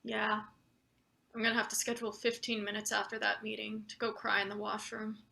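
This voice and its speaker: A woman playing a flustered, deferential new boss in a live play reading, halting and anxious as they fawn over a superior. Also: medium pitch, completely flat delivery, creaky, pushed and bright. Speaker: actor. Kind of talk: live table read of satirical play.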